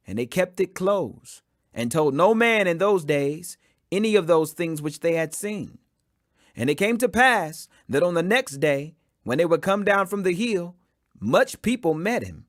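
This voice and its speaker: A man reads scripture aloud in a high, animated voice that climbs sharply whenever he reaches quoted speech. His delivery is emphatic throughout. The recording is close and dry, with no room sound. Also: measured pace, dry recording. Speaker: Bible reader.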